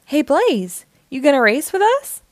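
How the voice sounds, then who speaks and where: A woman plays a plucky young male monster truck from a children's storybook. He is upbeat and exclamatory, calling out eagerly in a raised pitch with a dry, close sound. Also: sing-song, unhurried, no room sound. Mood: friendly.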